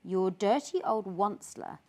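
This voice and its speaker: A woman's voice: mid-range voice, lively intonation, a little slow, a little mellow, lightly acted. A woman voicing an indignant, weary forest guardian creature who scolds in emphatic rhymes for a children's storybook. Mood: indignant scolding. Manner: emphatic, exclamatory, rhythmic rhyming delivery. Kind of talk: children's storybook read-aloud.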